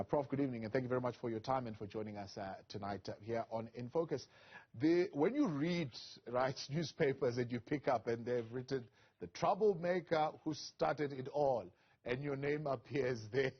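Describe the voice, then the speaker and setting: A man's voice: medium pitch, moderate pace. A man anchoring an evening news interview, reading a scripted introduction with "uh" fillers, then questioning his guest. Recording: lo-fi broadcast audio.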